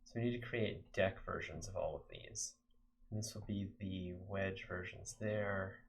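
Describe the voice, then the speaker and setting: A man's voice: sits low, measured pace, creaky, slight background noise, low-quality recording. A young American man from Texas chatting casually to his viewers while coding on a live stream, rambling with lots of fillers. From Texas, USA. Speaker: game developer streamer.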